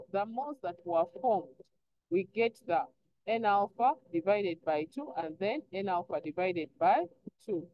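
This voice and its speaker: A woman teacher explaining step by step in an online lesson, instructive, often posing prompting questions and answering them herself. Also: mid-range voice. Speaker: chemistry teacher. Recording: dull lo-fi online class audio.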